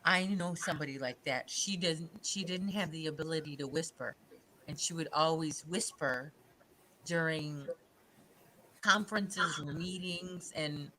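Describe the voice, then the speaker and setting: A soft-spoken woman chatting casually as a guest on a livestream. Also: low-pitched, unhurried, slight background noise, low-quality recording. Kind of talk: casual chat.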